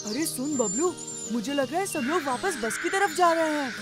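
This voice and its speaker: A woman's voice: high voice, animated, moderate pace, over loud music. A woman voicing a timid little boy in a children's cartoon, childlike and pleading. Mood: scheming.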